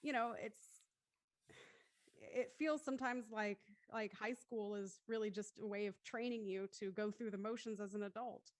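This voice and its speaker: A woman's voice: mid-range voice, steady tone, moderate pace, no room sound, studio quality. A woman talking casually and reflectively in a group discussion.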